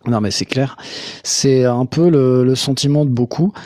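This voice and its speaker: A man hosting a live call-in show who asks short follow-up questions and comments in a measured way, with many fillers. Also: medium pitch, fairly even intonation, dark tone, no room sound, clean studio sound. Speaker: live stream host.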